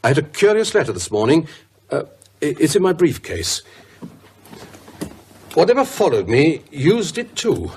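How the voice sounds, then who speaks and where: An actor playing an anxious, haunted English professor who speaks hesitantly in a British radio horror drama. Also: male voice, on the high side, sing-song, darkish tone, a little hiss or hum. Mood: haunted, tense. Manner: hesitant, troubled, halting. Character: anxious, haunted male professor, fearing for his sanity.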